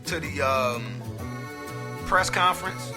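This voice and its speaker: A man's voice: fairly high pitch, takes it slowly. A man hosting a podcast, giving a blunt, impassioned opinion monologue and swearing freely. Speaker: podcast host. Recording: roomy with hip hop music behind.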